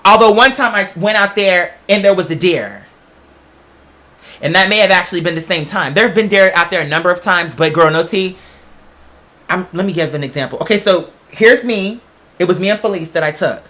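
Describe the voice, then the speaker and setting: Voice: mid-range voice, fairly quick, bright, forceful tone. A chatty podcast host telling stories in a casual, rambling way, with slangy, emphatic phrasing and frequent digressions. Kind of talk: casual rambling storytelling to an audience.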